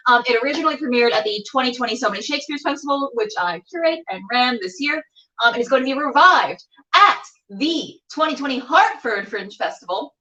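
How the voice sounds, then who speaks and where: A woman's voice: high voice, lively intonation, fairly quick, pushed and bright, distant mic, muffled recording. A bubbly, theatrical woman chatting casually as she hosts a livestreamed show. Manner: bubbly, theatrical, self-mocking. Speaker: web show host. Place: indoor room with a door. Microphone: camera on a tripod, a little distant.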